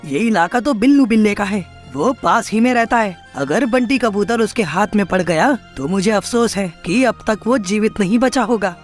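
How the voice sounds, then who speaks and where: A woman's voice: medium pitch, expressive, moderate pace, hardly any pauses, soft background music, natural voice. A woman voicing a caring, protective male bird neighbour in an earnest, reassuring manner for a children's cartoon. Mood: sombre concern.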